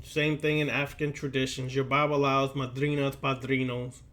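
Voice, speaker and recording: A man lecturing like a preacher, speaking deliberately and emphatically, with repetition and rhetorical questions. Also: mid-range voice, flat delivery, a bit bright. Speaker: occult lecturer.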